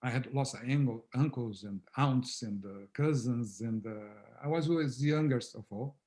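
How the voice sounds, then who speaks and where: A man whose first language is Portuguese, speaking softly and reflectively on a video call as a guest founder of a therapy method. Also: mid-range voice, normal speed. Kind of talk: casual conversation on a video call.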